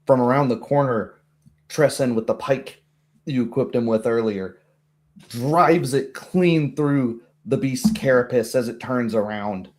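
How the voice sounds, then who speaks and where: A man's voice: mid-range voice, a little slow, darkish tone. A man narrating a tabletop roleplay as game master, with dramatic, descriptive storytelling.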